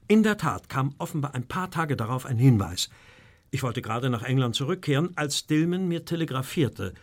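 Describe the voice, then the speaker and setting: Earnest, formal English gentleman, anxious. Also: mid-range voice, animated, normal speed. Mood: grave recounting. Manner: formal, deferential. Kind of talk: German audio drama acting and narration.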